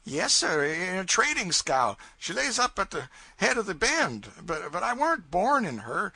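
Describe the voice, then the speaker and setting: Guy putting on a scared little boy, polite, begging, high and bouncy. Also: very animated, measured pace, vocal fry, pushed and bright, breathy edge, no room sound, exaggerated voice. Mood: pleading. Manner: timid, polite, eager to please. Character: scared young boy stowaway, pleading.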